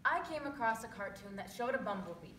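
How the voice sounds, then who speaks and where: A woman's voice: medium pitch, lively intonation, quick pace. A young woman delivering a dramatic scripted recitation as a readers theatre performer. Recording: echoey room, distant mic, faint noise.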